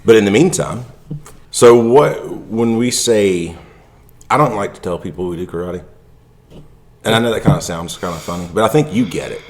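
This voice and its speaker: A man with a very deep voice hosts a casual podcast. He talks in a loose, rambling way, telling anecdotes as he goes. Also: animated, moderate pace. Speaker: martial arts podcast host.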